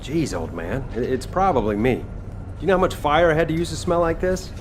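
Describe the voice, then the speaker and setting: An actor playing a cocky, playful fire-wielding cook and fighter in a video game, with a flippant, teasing way of talking. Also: male voice, fairly high pitch, animated, fairly quick, a little hiss or hum, close to an everyday voice. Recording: loud game music behind the voice.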